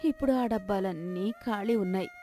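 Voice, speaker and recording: Brief: female narrator as worried storybook widow, fretful and pleading, mid-range pitch, soft music. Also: moderate pace, natural voice. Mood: fretful, sad. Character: anxious, despairing widowed village mother. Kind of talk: acted folk-tale dialogue.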